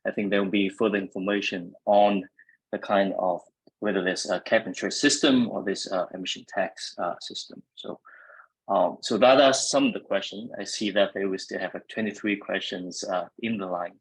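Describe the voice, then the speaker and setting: A man's voice: low voice, steady tone, normal speed, husky, rough recording. A man with a Vietnamese accent moderating an online panel, hesitant with many fillers. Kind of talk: webinar moderation.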